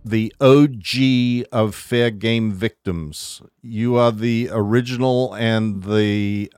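A man co-hosting a podcast, welcoming a guest in a slow, earnest way with halting restarts. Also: on the low side, fairly even intonation, darkish tone, clear, resonant tone, no room sound, soft background music. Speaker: podcast co-host. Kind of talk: casual podcast conversation.

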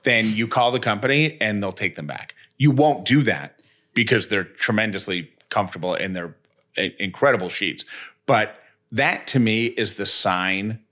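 A man's voice: on the low side, moderate pace, bright, forceful tone. A man co-hosting a podcast, giving conversational, folksy and enthusiastic sponsor reads. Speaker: podcast co-host reading sponsor ads. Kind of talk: conversational ad read.

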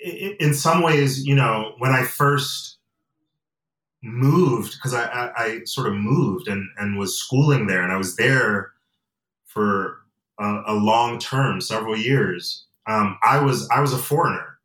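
A young man from Atlanta and Nigeria, a guest in a casual interview, talking reflectively with many pauses and fillers. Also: mid-range voice, fairly bright tone, recorded from a distance. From United States and Nigeria. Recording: roomy and off-mic.

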